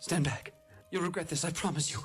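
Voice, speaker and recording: A man's voice: fairly high pitch, brisk, over music, lightly acted. A man playing a hostile, threatening local villain in an adventure game, speaking with open menace. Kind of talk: scripted adventure game dialogue.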